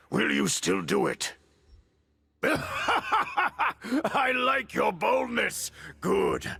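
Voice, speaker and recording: Gravelly, swaggering resistance fighter. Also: male voice, high-pitched, heavily acted. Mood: boisterous, cocky. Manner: loud, theatrical, swaggering. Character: brash, boisterous resistance leader who relishes a fight. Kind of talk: video game voice acting. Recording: music under the voice.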